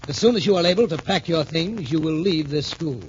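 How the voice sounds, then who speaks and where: An actor playing a middle-aged American major who heads a military academy, formal and emphatic, in 1940s radio drama style. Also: male voice, a little high, fairly quick, close to an everyday voice. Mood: stern. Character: stern but appreciative major heading a boys' military academy. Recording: muffled, noisy 1940s radio recording.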